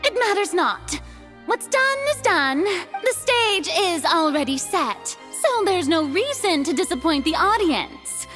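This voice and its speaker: A proud young goddess on trial in a game, high-voiced and swooping. She speaks slowly and grandly, like a stage diva, pompous with a shaken edge. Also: big pitch swings, fairly bright tone, faint music behind, full character voice. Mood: resigned, wounded. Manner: pompous, theatrical, grandiose delivery. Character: theatrical, haughty young female archon on trial, proud yet shaken. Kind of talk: dramatic video game voice acting.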